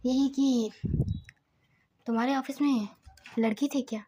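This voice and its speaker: A woman voicing a sharp-eyed, teasing elderly grandmother in a soft, hushed tone. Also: on the high side, fairly even intonation, normal speed, breathy edge, no room sound.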